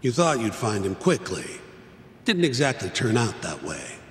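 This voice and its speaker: An actor playing a jaded, exasperated middle-aged lawyer in a video game, blunt and world-weary in manner. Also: male voice, on the low side, huge pitch swings, normal speed, a bit bright. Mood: matter-of-fact. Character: jaded, exasperated older male defense lawyer. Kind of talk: video game cutscene acting. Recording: echoey hall reverb, music behind.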